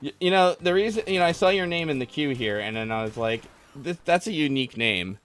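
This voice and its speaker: A man's voice: fairly high pitch, expressive, a little fast, fairly bright tone. A man hosting a casual call-in gaming stream, bantering and mock-arguing with callers and swearing casually. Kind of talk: casual banter with callers about video games.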